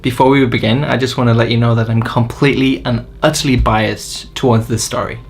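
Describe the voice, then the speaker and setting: A man's voice: mid-range voice, fairly even intonation, a little fast. A man narrating a scripted book review in an enthusiastic, opinionated way. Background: faint music.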